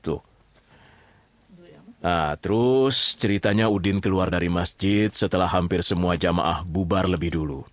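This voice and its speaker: Male storyteller, lowish voice, dry close sound, colloquial, conversational asides. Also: a little slow, no echo. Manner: colloquial, checks in with listeners often. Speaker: narrator. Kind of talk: conversational storytelling in an audio drama.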